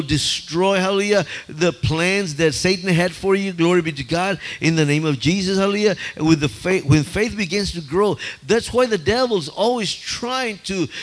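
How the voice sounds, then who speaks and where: A male preacher giving an excited sermon, filling every phrase with "hallelujah" and "praise God" and often stammering and restarting. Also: high-pitched.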